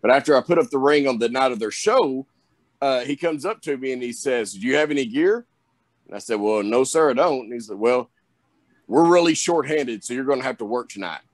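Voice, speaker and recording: A young man talks with a thick Southern drawl, telling his story in a casual, rambling way and stopping often to restart. His voice sits at an ordinary middle pitch. The recording is dry, with no room sound at all. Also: expressive, fairly quick, dry recording. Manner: rambling, many restarts and "you know". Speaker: pro wrestler guest.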